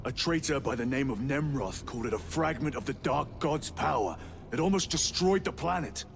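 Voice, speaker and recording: An actor playing a grave, resolute veteran space marine lieutenant in a game, with clipped commanding delivery. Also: male voice, mid-range voice, expressive, moderate pace, creaky, background noise. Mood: grave, urgent. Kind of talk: cinematic game dialogue.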